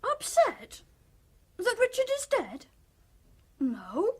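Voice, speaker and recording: A woman playing a morbid, excitable, childlike young man in a radio play, eager and naive with a giggly manner. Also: very high-pitched, drawn-out words, exaggerated voice. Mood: petulant, unsettled.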